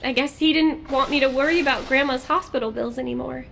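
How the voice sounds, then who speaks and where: An actress playing a young, naive and cheeky female soldier in video game dialogue, talking in an expressive, informal, girlish way. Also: sits high, fast, vocal fry, a little hiss or hum. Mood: subdued.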